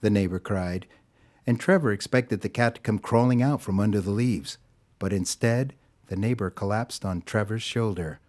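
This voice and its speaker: A man with a fairly low voice narrates a scripted story. His delivery is deliberate and dramatic, shaped for an audio drama. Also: darkish tone.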